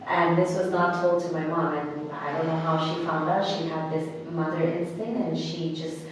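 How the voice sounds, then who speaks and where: A woman in her thirties from Bangladesh, telling her life story as an interview guest in an earnest, halting way with many restarts. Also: low voice, steady tone, a little hiss or hum. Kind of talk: personal storytelling in conversation. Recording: echoey hall, mic at a distance.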